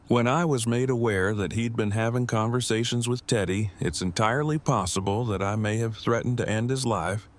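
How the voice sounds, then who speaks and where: A man's voice: lowish voice, close to an everyday voice. A man playing a wordy, protective husband and father in an audio drama, speaking in a stiffly formal, precise way.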